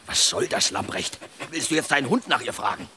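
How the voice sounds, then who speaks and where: An actor playing a worried, gruff middle-aged village smith in an audio drama, speaking with urgency. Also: male voice, medium pitch, animated, fairly quick, background noise, lightly acted. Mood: worried, pressing. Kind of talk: German audio drama acting.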